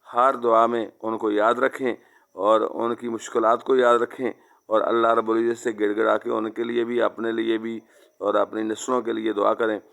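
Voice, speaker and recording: A man's voice: on the low side, gravelly, fairly bright tone, clean tone. A man preaching an Islamic devotional sermon, exhorting listeners like friends and weaving in repeated recited Arabic phrases.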